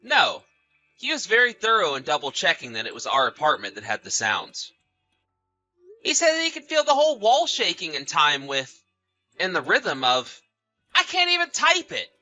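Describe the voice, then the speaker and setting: A man's voice: a little high, huge pitch swings, moderate pace, sharp tone, soft background music, heavily acted. A man acting a betrayed husband in a dramatized story, polite but suspicious and accusing, with a theatrical, emphatic delivery. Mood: exasperated, accusing. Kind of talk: dramatized text-message story narration.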